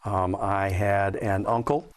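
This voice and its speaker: An American man in his fifties from Southern California, recalling his life conversationally in an interview, hesitant and measured with frequent pauses. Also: lowish voice, steady tone. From Southern California, USA. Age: 50s. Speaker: interview guest, Air Force veteran. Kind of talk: conversational personal recollection.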